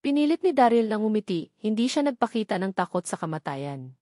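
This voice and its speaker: A woman's voice: mid-range voice, sing-song, brisk, mellow, no echo, clean studio sound. A woman reading a scripted story as an audiobook narrator.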